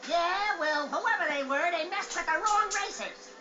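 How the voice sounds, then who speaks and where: A man voicing a small, boastful, excitable male animal sidekick in a video game cutscene, telling things in a brash, theatrical way. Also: very high voice, normal speed. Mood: cocky. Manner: brash, bragging, theatrical storyteller. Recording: dull game audio under music.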